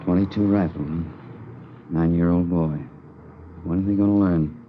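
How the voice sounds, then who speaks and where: An American actor playing a weary, indignant police chief in a vintage radio drama, blunt and emphatic. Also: male voice, deep voice, fairly even intonation, normal speed, close to an everyday voice. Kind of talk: 1950s radio acting. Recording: muffled, noisy vintage radio recording.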